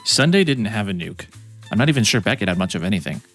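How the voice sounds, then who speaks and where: A man with a somewhat low voice tells his own story quickly in scripted first-person narration. His tone is reflective and a little conflicted. Soft guitar plays behind him. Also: sing-song. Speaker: video editor. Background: soft guitar music.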